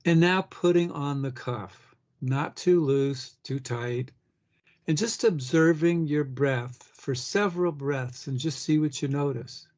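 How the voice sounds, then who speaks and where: A man leading a guided meditation with gentle instructions, speaking unhurriedly and pausing between short phrases. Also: fairly high pitch, dull audio.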